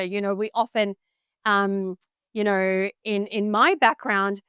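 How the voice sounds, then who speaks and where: A woman's voice: medium pitch, smooth, no room sound, clean studio sound. A woman hosting an interview, asking articulate questions and summing up her guest's answers. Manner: polished, articulate, warmly summarizing. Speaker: interview host.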